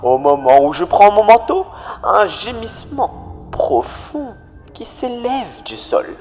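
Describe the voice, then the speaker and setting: A man's voice: sits high, darkish tone, heavily acted. A man voicing an uneasy, puzzled night projectionist in a suspenseful cartoon horror monologue. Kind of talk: dramatic audio-log monologue in a cartoon horror fandub. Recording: long reverb over music.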